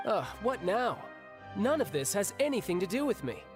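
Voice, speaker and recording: A man's voice: sits high, very animated, moderate pace, over music. A man playing a cocky, flippant young mercenary in a video game, sardonic and theatrical in manner. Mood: impatient.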